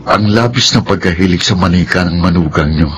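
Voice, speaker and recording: Actor playing earnest young Filipino husband, noisy audio. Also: male voice, low voice, brisk, close to an everyday voice. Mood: worried. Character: worried, earnest young husband and dutiful son. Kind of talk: Filipino radio drama acting.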